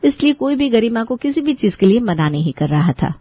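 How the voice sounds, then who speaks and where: A woman's voice: a little high, very animated, brisk, no room sound, lo-fi sound. A woman playing a resentful, put-upon young sister-in-law in a dramatized family story, sarcastic and grudgingly polite. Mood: confiding. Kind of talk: dramatized storytelling with asides to listeners.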